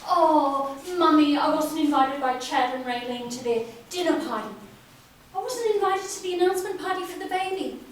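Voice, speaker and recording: A woman's voice: sits high, normal speed, close to an everyday voice. A woman acting a scornful, domineering wife in a stage drama, with a cutting, sneering delivery. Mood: mocking. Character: scornful, domineering wife and hostile sister-in-law. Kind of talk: amateur stage play acting. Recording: echoey room from far off, faint noise.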